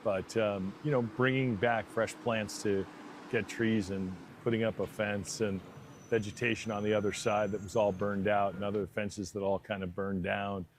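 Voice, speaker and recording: A man's voice: fairly low pitch, flat delivery, normal speed, slight background noise. A man with an American accent, an announcer by trade, answering interview questions conversationally. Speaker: stadium announcer being interviewed.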